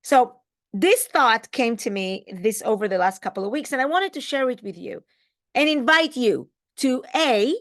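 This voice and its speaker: A woman with a fairly high, very animated voice gives a reflective spiritual lesson. She stresses key words, poses questions to the listener and pauses for effect as her pitch swings widely. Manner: emphatic, rhetorical questions, dramatic pauses. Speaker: religious teacher. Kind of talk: reflective spiritual teaching talk.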